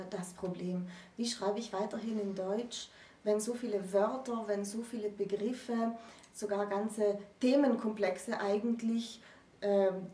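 A woman in her thirties explaining literature in an articulate, emphatic way, in deliberate phrases. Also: medium pitch, a little mellow. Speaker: presenter of a literature explainer series. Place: small indoor room with bookshelves. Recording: roomy, distant microphone.

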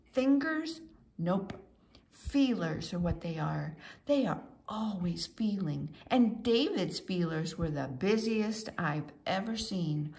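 A woman's voice: on the low side, expressive, slow, a little mellow. A woman reading a children's storybook aloud with theatrical, sing-song emphasis, as a storyteller does for young listeners. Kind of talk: expressive read-aloud storybook narration.

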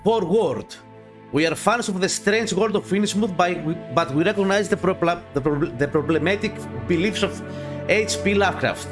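High-voiced Greek-accented man doing a let's play. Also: animated. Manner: halting reading, often repeating phrases, swearing in asides. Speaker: gaming channel host. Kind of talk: casual let's play, reading game text aloud with asides. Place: small dim home gaming room. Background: game music.

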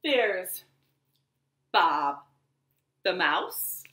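A young woman reads aloud to small children as a storytime host. Her voice is bright and forceful, with big melodic swings and an unhurried pace. It sounds like a home living room with noticeable echo. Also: on the low side. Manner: animated, slow deliberate delivery for young children. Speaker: library storytime host and reader.

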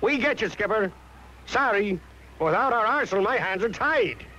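A man's voice: high-pitched, animated, normal speed, bright, forceful tone. A man voicing an eager, earnest young crewman of a cartoon sea captain. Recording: dull, noisy old cartoon soundtrack.

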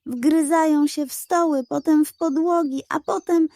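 A greedy little schemer of a male pug, acted out by a woman for a children's storybook. The voice is high and soft-edged, full of dramatic indignation and hot temper, in a very dry recording. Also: steady tone, a little slow, no room sound. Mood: dramatic alarm.

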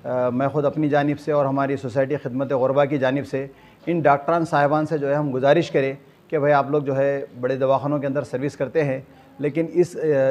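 A man's voice: fairly high pitch, darkish tone, muffled recording. An older man with a Hyderabadi accent, a community organiser making a formal address to camera with repeated filler phrases. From Hyderabad, India. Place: small clinic room, indoors.

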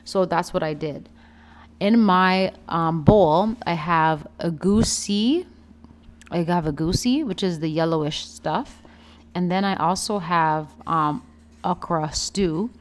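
A young woman, giving a chatty, playful and expressive casual vlog voice-over. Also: lowish voice, takes it slowly. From Canada. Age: young adult. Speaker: vlogger, food reviewer. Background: background music.

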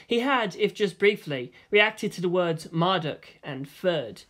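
Low-voiced woman narrating an audiobook. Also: animated, takes it slowly, fairly bright tone.

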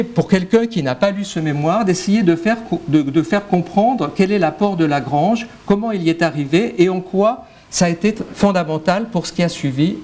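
A man's voice: on the high side, darkish tone. A middle-aged French man with a French accent giving a mathematics lecture at a conference, speaking into a handheld microphone with frequent fillers and restarts. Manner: hesitant, many fillers and restarts. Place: lecture hall with projector screen.

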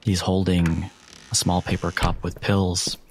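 A man working as game master, narrating a dramatic tabletop horror game deliberately, with pauses between phrases. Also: low-pitched, flat delivery, a little hiss or hum. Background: music.

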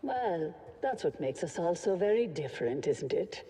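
A man's voice: sits high, sing-song, moderate pace, mellow. A man voicing a wry, world-weary bounty hunter in a video game, casual and dry. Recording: long reverb, faint game ambience.

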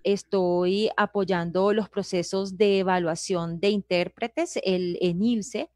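A woman, a sign language interpreter introducing herself on a live panel, speaking steadily with frequent "eh" pauses. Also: medium pitch, no room sound, studio quality. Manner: steady, hesitant "eh" fillers.

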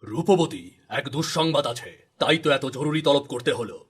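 A man voicing a grave, authoritative king and father in a storybook cartoon, with a solemn, slightly husky voice. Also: medium pitch, expressive, normal speed. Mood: grave, fatherly. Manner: commanding, solemn.

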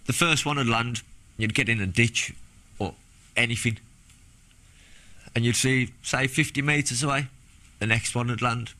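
A young British man, a former soldier, recounting his experiences in a halting, plain-spoken way in a documentary interview. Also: medium pitch, bright, forceful tone. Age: young adult. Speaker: former soldier giving testimony. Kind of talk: documentary interview, personal recollection. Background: background music.

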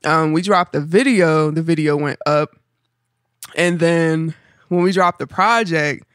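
A young woman with a deep voice, chatting as a guest musician on a podcast, telling stories with animation and frequent laughter. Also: a little slow, smooth, no echo, clean studio sound. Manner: animated storyteller, laughs often. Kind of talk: casual podcast chat. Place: living room, indoors.

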